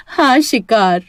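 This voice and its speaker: A woman's voice: high-pitched, dry recording, pitched above the performer's own voice, exaggerated voice. A woman voicing a sly, menacing witch who poses as a street drink seller in a cartoon horror tale. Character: sly female witch posing as lemonade seller.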